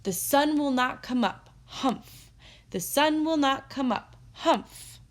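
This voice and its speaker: A woman voicing a big, boastful, stubborn bear in a children's storybook, making loud, emphatic, chanting declarations. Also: sits high, expressive, takes it slowly, voice pushed up. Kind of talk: children's storybook read-aloud.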